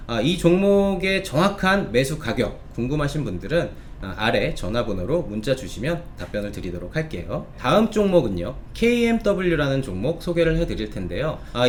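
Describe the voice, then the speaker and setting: A man hosting a stock review show, addressing online viewers in polite, formal speech with drawn-out hesitations between phrases. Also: mid-range voice, a little hiss or hum.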